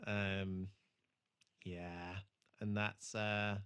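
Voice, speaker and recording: A man's voice: on the low side, flat delivery, very slow, a bit bright, smooth. A man co-hosting a podcast, chatting casually and swearing freely about a TV show. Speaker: podcast host.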